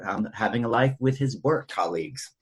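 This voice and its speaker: A man's voice: medium pitch, lively intonation, normal speed. A man hosting a podcast in a casual, chatty style. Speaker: podcast host. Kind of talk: casual chat.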